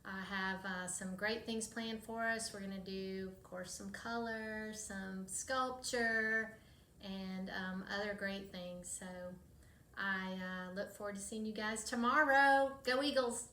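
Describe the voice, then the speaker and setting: Bright American woman in an echoey room. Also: mid-range voice, fairly even intonation, slow. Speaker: middle school art teacher. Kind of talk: short welcome address to students. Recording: roomy echo, faint ambient noise.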